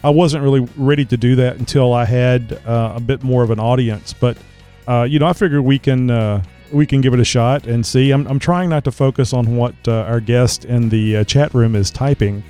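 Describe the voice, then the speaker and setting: Man getting over a cold, mellow voice. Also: medium pitch, steady tone, moderate pace, smooth, faint music behind. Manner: rambling, many filler words. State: recovering from a cold, slight crackle. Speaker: podcast host. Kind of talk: casual chat.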